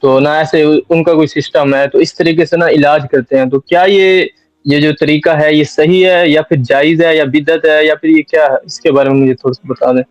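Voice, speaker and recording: A man's voice: a little high, fairly even intonation, moderate pace, no echo. A man politely and deferentially putting informal questions, recorded in dull lo-fi sound. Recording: dull lo-fi close dry sound.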